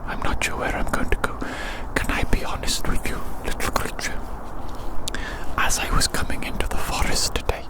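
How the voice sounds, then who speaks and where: Casting: ASMR performer for whispered roleplay rambling, playful and teasing, repeating phrases softly. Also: normal speed, poor audio. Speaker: ASMR video creator. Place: outdoors in winter woods.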